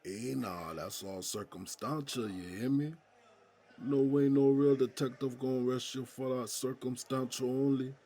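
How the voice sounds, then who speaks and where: An American man playing a streetwise, seasoned inmate in an audio drama, with a husky voice and folksy, slangy talk. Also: mid-range voice, fairly even intonation, moderate pace, mellow. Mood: emphatic, urging. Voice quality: husky, gravelly. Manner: folksy street slang, probing rhetorical questions. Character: streetwise, seasoned male prison inmate mentoring a younger friend.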